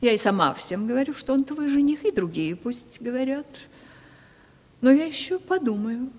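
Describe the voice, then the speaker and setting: A woman's voice: sits high, big pitch swings, moderate pace, a little mellow. An actress playing a vain, domineering aging provincial lady in a Russian radio play, with a theatrical, imperious manner. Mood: stern, condescending. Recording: reverberant 1970s radio play recording.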